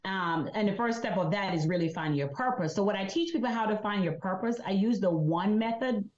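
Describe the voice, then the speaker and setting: A woman's voice: medium pitch, a little fast, roomy sound, muffled recording. A woman from Jamaica with a Jamaican accent, a business coach explaining her method as a guest on a podcast. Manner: energetic, explains step by step. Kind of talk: podcast interview.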